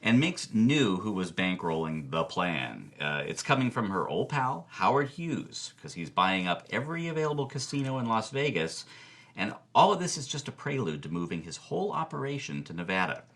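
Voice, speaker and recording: A man's voice: lowish voice, sing-song, moderate pace, fairly bright tone. A man playing a gossipy film-history expert, telling scandalous stories theatrically as a podcast guest and answering his own questions. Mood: dramatic. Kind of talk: animated storytelling in a podcast interview.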